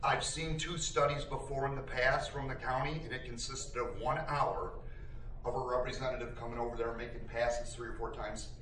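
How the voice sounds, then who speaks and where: A man's voice: medium pitch, steady tone, normal speed, a bit bright. A resident speaks up during citizen comments at a board meeting. He talks in an insistent, rambling, conversational way. His voice sits far from the mic and echoes around the hall. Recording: roomy hall audio from afar, faint noise.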